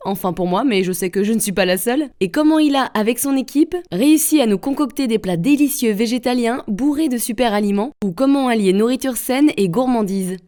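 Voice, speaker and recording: A woman with a fairly high voice hosts a podcast, reading her intro in an upbeat, chatty tone with a light self-mocking touch. She then moves into a relaxed interview. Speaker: podcast host. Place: empty yoga room with echo.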